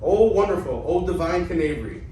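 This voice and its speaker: Man playing an excitable old father, echoey and distant. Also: on the high side, moderate pace, lightly acted. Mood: eager. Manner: theatrical, exclamatory, stumbling over words. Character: excitable, anxious old debtor father, scheming and gloating. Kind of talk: amateur dramatic play reading. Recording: echoey room, distant mic, faint noise.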